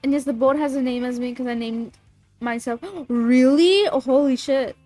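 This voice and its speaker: Bubbly high-voiced woman streamer with a cold. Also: normal speed, warm tone. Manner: bubbly, swears, reads chat aloud. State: sniffly with a cold. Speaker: streamer reading creepypasta. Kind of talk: casual livestream chat and reading aloud. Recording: faint music behind.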